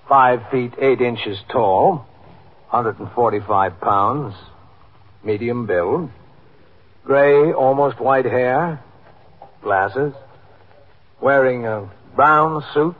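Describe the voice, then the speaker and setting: An actor playing a calm, methodical police captain in a 1950s radio drama, speaking in a matter-of-fact way. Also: male voice, mid-range voice, breathy edge. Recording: noisy narrow-band 1950s radio recording.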